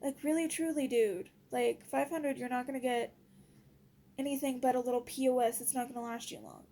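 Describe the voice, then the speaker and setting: High-voiced woman venting, exasperated. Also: steady tone, fairly quick, warm tone. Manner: exasperated, blunt, slangy. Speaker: vlogger. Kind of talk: casual venting chat.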